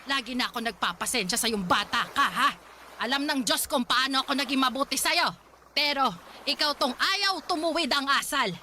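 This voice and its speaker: Cruel old grandmother, high voice, sanctimonious, nagging, radio drama, noisy background. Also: normal speed, sharp tone. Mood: scolding, self-righteous. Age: older. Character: cruel, pious, stingy old grandmother, scolding harshly. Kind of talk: Tagalog radio drama acting.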